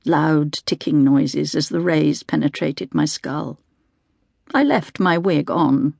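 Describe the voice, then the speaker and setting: Creaky-voiced woman narrating a literary audiobook. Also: low voice, takes it slowly, a little mellow, no echo, studio quality. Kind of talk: scripted literary first-person narration.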